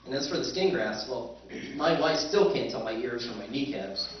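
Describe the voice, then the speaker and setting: A man's voice: mid-range voice, animated, normal speed, slight background noise, close to an everyday voice. Man as a wry radio-play spaceman, very echoey. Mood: wry narrating. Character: wry, skeptical male planetary surveyor telling his tale. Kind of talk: old-time radio drama narration and dialogue on stage. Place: convention stage. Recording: echoey stage recording, distant and dull.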